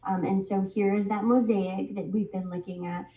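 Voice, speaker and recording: A woman getting over a head cold teaches a Bible study in a casual, halting way, with plenty of ums. Her voice is mellow and dark. She sits back from the mic, and the room rings around her. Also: mid-range voice, fairly even intonation, reverberant, far from the mic, low-quality recording. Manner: unhurried, many ums and restarts.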